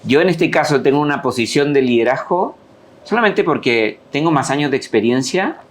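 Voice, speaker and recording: A man's voice: medium pitch, lively intonation, moderate pace. A man hosting a podcast interview, talking casually and agreeably, often backing up his guest. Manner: agreeable, frequent short backchannels. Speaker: podcast host.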